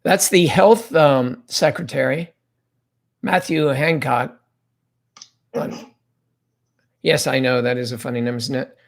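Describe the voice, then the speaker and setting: A man hosts a casual livestream, chatting at an easy, medium pitch. He rambles from topic to topic and reads viewer comments aloud to his guests. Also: takes it slowly, creaky, long pauses. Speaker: livestream host.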